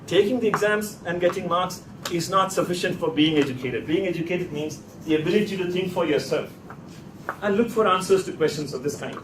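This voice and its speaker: Echoey, distant male teacher, deliberate and questioning. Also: high voice. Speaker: teacher leading a workshop class. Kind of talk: classroom teaching with rhetorical questions. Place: classroom. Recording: echoey, noisy room, distant mic.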